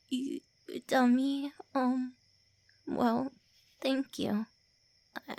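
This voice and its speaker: A woman playing a flustered, gravelly tsundere vampire. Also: sits high, darkish tone, dry recording, exaggerated voice. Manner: whispery, stammering, brusque teasing. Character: tsundere female vampire lord, centuries-old, looks early twenties, flustered, insulting. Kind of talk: ASMR roleplay.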